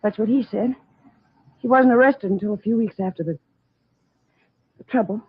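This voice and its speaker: An actress playing a frightened young nightclub woman with a halting, anxious delivery in a 1940s radio drama. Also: fairly high pitch, breathy edge, no echo. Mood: bitter, troubled. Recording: muffled, dull vintage radio recording.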